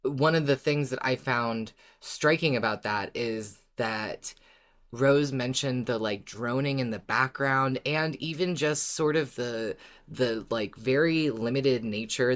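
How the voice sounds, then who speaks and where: Podcast co-host thinking aloud. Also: mid-range voice. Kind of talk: casual fan discussion.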